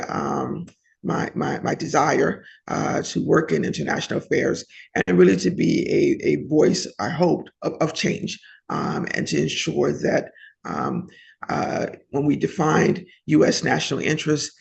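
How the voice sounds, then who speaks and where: A middle-aged woman from New Orleans, a former diplomat, recalling her career in a reflective oral history interview with many pauses and restarts. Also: low voice, vocal fry. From New Orleans, United States.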